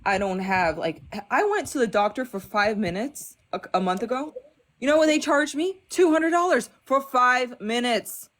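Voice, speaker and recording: A woman's voice: on the high side, moderate pace, fairly bright tone. A woman in her thirties who grew up in Denmark, calling into a live stream and ranting with emphatic, fed-up bursts. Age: 30s. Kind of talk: impassioned rant.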